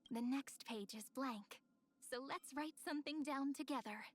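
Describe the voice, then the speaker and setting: An actress voicing a playful, gentle young woman in a video game, speaking in a coy, tender, poetic way. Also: high voice, moderate pace, close to an everyday voice. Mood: soft, romantic. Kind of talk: scripted game character voice lines.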